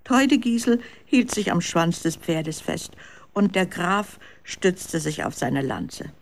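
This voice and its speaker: A narrator telling a children's radio story in a measured, expressive scripted style. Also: medium pitch.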